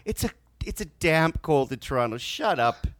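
A man with a bright, sharp voice chats on a podcast, quick to rant bluntly with sardonic, deadpan humor. He is recovering from sinus surgery. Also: a little high. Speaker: podcast co-host. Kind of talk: casual podcast chat.

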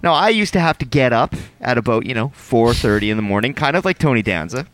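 A man hosting a podcast, chatting casually in an animated, joking way and laughing often. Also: mid-range voice, fast talker, dry recording. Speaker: podcast host. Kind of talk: casual chat.

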